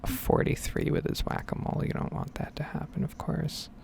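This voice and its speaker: A woman speaks in a breathy whisper and soft murmur, musing her way through ASMR game commentary. Her voice crackles with deep vocal fry and wanders freely in pitch. She rambles without hurry, with faint noise behind her. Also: wildly swooping pitch, a little fast. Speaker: gaming ASMR video creator.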